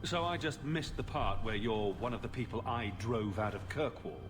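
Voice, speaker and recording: A man's voice: fairly low pitch, normal speed, natural voice. A man acting as a skeptical, wry fantasy hero in a video game, with a dry, questioning delivery. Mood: wounded, sardonic. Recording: game dialogue in reverberant hall, music behind.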